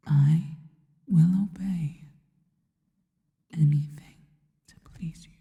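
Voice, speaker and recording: A woman voicing a devoted, worshipful spider monster girl in a soft, breathy, intimate ASMR whisper, speaking slowly and reverently. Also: sits low, narrow, tinny audio. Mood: possessive, teasing. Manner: slow, deliberate, reverent.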